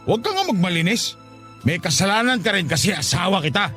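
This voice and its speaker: Casting: man as sulky, tipsy dad for radio drama; high, animated voice, self-pitying and combative. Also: fast talker. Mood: argumentative. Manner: sulky, argumentative. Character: tipsy, self-pitying, defensive father of a poor family. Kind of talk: Tagalog radio drama acting. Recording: music behind the voice. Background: music.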